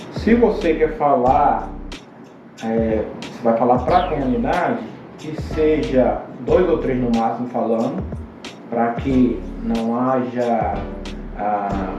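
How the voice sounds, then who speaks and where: A Brazilian man, a pastor guest on a podcast, explaining scripture in a halting, explanatory way with many restarts. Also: mid-range voice. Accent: Brazilian Portuguese. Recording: roomy, distant, dull podcast audio. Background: music underneath.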